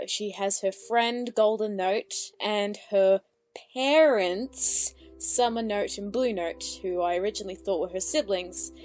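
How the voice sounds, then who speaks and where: A woman hosts a casual reaction in an ordinary mid-pitched voice. She talks in an informal, off-the-cuff way, with faint music behind her. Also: a little mellow. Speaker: online reaction host.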